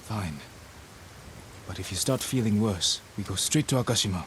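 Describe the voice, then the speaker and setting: Deep-voiced, stern samurai lord. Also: male voice, expressive, moderate pace, a bit bright, a little hiss or hum. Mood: concerned. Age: adult. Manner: terse, serious. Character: stern, restrained young samurai lord, dutiful and determined. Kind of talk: dramatic video game acting.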